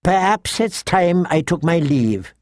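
A man's voice: on the high side, very animated, takes it slowly, darkish tone, no room sound, pitched above the performer's own voice. Give the storybook's little dwarf nobleman , acted by a male reader, a dry and sardonic tongue. He speaks formally and wryly, with great dignity, lifted a bit above plain narration in a close, dry recording. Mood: wary. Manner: dry, wry, formal speech. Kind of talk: audiobook character acting.

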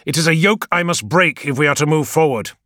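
A man voicing a proud, fiery male war leader who speaks in a raised, forceful way. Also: fairly low pitch, very animated, moderate pace, dry recording, clean studio sound, voice pushed up. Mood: angry. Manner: forceful, raised, impassioned delivery.

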